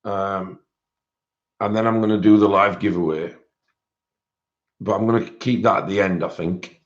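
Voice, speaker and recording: A man with a British accent and a croaky voice, hosting a casual livestream and chatting with viewers, rambling with many fillers. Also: deep voice, steady tone, moderate pace. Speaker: livestream host. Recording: dull lo-fi stream audio.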